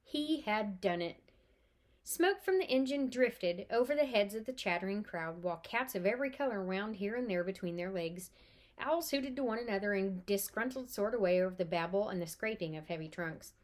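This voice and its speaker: A woman's voice: medium pitch, expressive, normal speed. A woman reading a children's novel aloud as storyteller, expressively and with animation.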